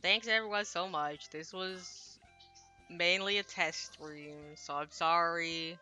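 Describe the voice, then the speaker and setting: A woman with a very bright, sharp voice set a little low talks casually as a game streamer. She rambles along, putting herself down and apologizing, speaking into a headset over game music. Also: slow. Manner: rambling, self-deprecating, apologetic. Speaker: streamer translating a Japanese visual novel. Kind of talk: casual livestream chat.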